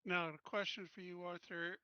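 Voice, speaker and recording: A man playing a tabletop game over a voice call, talking strategy with quick restarts. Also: high-pitched, level tone, clear, resonant tone, dry recording, lo-fi sound. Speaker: player. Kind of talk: casual game table talk.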